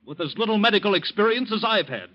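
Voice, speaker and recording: An actor playing a bitter, accusing young son of a doctor in a 1940s radio drama, intense and pressing. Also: male voice, high-pitched, animated, fast, pushed and bright. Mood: angry accusing. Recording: dull vintage radio recording.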